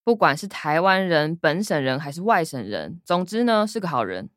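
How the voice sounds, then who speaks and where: A woman's voice: low voice, big pitch swings, normal speed, no room sound, studio quality. An actress playing a sarcastic, teasing young working woman, the older sister in a radio drama, with a Taiwanese Mandarin accent. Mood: matter-of-fact. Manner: sardonic, teasing.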